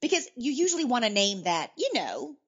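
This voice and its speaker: A woman's voice: fairly high pitch, very animated, a little fast, breathy edge, dull audio. A woman playing a chatty, doting older aunt as an expert guest, folksy, rambling and easily sidetracked. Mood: puzzled. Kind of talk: comedic mock interview.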